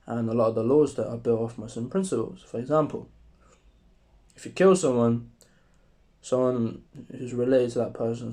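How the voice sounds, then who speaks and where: A young man with a sore, strained throat, talking casually to camera in a rambling, profane monologue while trying to keep his voice deeper. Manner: rambling, profane, relaxed and deliberately deeper delivery. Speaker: vlogger.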